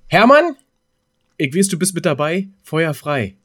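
A man's voice: a little high, sing-song, unhurried, fairly bright tone, husky. A man co-hosting a podcast in casual, joking banter with informal, colloquial speech. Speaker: podcast co-host.

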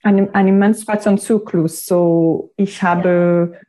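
A woman in her thirties from Quebec, a native French speaker with a Québécois French accent, talking as an interview guest about her yoga work, pausing and restarting as she searches for words. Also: fairly low pitch, fairly even intonation, warm tone. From Quebec, Canada. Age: 30s. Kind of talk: interview conversation.

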